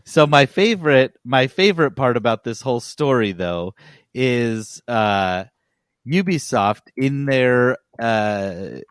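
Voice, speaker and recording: Male podcast co-host with a medium pitch and dry sound, for informal, enthusiastic gamer chat. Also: animated, takes it slowly, clean tone, no room sound. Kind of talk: casual gaming chat.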